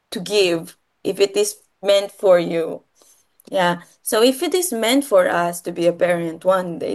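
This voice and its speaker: A woman from the Philippines with a Filipino accent and Filipino as her first language, talking casually and haltingly, often repeating and rephrasing her phrases. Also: lowish voice. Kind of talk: casual conversational personal talk.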